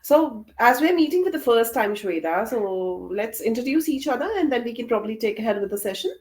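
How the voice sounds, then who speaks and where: A woman's voice: on the high side, expressive, a little fast, a little mellow. A woman, leading an English practice session as mentor over a video call, often repeating words as she prompts. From Delhi, India. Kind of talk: hosting a conversation practice session.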